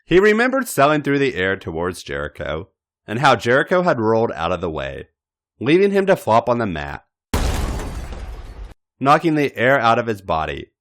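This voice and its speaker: A man's voice: mid-range voice, wildly swooping pitch, moderate pace, fairly bright tone. A man narrating a story as a podcast reader, with a dramatic, emphatic delivery. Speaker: podcast host reading fan fiction. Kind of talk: expressive narrated story reading.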